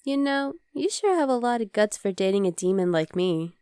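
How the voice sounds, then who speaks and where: Woman doing a sweet young demon gf for asmr roleplay, medium pitch, soft and playful, super dry close mic. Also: expressive, normal speed, clean tone, dry recording, natural voice. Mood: fond, teasing. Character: affectionate young demon girlfriend, daughter of underworld leader. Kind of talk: ASMR roleplay, intimate one-sided dialogue to listener.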